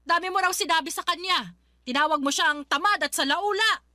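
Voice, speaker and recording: A woman's voice: very high voice, brisk, sharp tone, dry recording, clean studio sound, exaggerated voice. A woman playing an angry, domineering older mother-in-law with a Filipino accent, scolding sarcastically in a radio drama. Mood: scolding, sarcastic.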